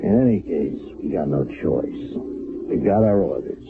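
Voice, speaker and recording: Hesitant army captain, gravelly low voice, lo-fi radio sound. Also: male voice, animated, normal speed, noisy background, exaggerated voice. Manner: hesitant, with many uh fillers. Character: gruff army engineer captain, brisk. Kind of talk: 1970s radio drama acting. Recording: lo-fi old radio broadcast.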